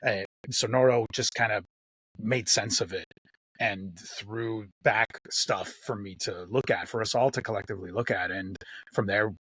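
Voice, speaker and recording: A man with a husky voice in a close, dry recording. He speaks as an interview guest and game master, thinking aloud in casual chat and repeating phrases for emphasis. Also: fairly low pitch, moderate pace, a bit bright, dry recording, rough recording.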